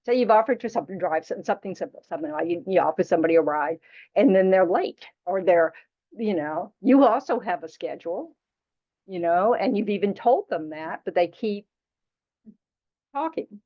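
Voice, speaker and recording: A woman's voice: medium pitch, expressive, moderate pace. Chatty woman teacher, dull recording. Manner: chatty, frank, teasing, full of "you know". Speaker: Buddhist teacher. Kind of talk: casual dharma teaching to a class.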